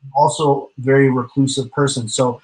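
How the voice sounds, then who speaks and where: A man's voice: medium pitch, little pitch movement, a little fast, a little mellow, low-quality recording. A man chatting casually as an interview guest, rambling with many fillers and the odd curse. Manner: rambling, frequent fillers and swearing. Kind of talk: casual chat.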